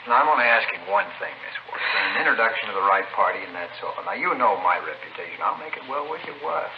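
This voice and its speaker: Fast-talking, smooth male narcotics dealer. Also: fairly low pitch, expressive. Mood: insistent. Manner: polite, persuasive, formal. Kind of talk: 1950s radio crime drama acting. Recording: noisy muffled old radio recording.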